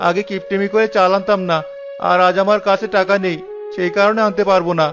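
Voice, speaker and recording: A man acts out a tight-fisted village husband from a cartoon, always scolding and complaining. His voice sits high and theatrical. The sound is dull and lo-fi, with faint music underneath. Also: level tone, quick pace, close to an everyday voice. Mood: regretful. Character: stingy, scolding village husband, quick to wail. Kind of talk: cartoon dialogue. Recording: dull lo-fi audio with music behind.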